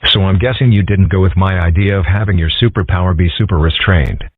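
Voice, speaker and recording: A man voicing dry, understated nerdy schoolboys in an amateur comic-strip dub, with a husky voice. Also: sits low, brisk, no room sound. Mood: dry, mild. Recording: muffled, phone-like lo-fi audio.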